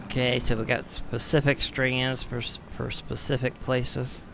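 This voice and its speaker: Hoarse man grumbling through gameplay. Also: medium pitch, flat delivery, measured pace, noisy background. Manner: casual, grumbling, wry asides. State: hoarse, losing his voice. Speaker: gaming streamer. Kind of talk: live gameplay commentary.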